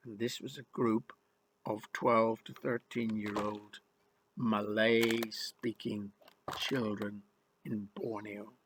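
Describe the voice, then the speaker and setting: A man's voice: on the low side, flat delivery, takes it slowly, slight background noise, poor audio. An older man from Ireland telling his own life story in the first person, in a wry, rueful and emphatic way. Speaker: memoir narrator.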